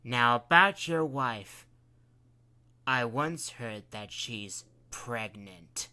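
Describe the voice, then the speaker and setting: A man voicing a scheming female cat queen who coos and taunts in a hushed, silky way. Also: medium pitch, lively intonation, unhurried. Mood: cooing.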